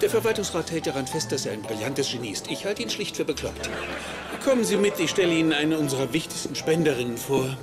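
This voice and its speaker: An actor playing a slick, bossy, effusive university president in a sitcom dub. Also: male voice, a little high, sing-song, quick pace, over loud music. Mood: smooth.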